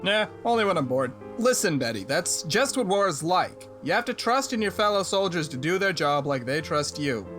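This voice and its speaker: A man's voice: high voice, very animated, normal speed, few pauses, a bit bright, soft background music. A man playing a cocky, plain-spoken soldier in a sci-fi audio drama, casual and wry. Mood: wry, frank.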